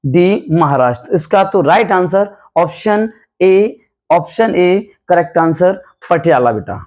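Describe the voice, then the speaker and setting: A man with a hoarse voice, a coaching teacher running a quick quiz-style revision lesson, calling his students "beta" and repeating each question and answer. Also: high voice, animated, darkish tone. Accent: Hindi. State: hoarse from night classes.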